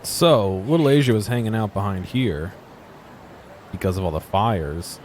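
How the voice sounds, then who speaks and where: Male game streamer, lowish voice, casual off-the-cuff exploring commentary with faint street ambience behind. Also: lively intonation, moderate pace, background noise. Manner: musing aloud, asking himself questions.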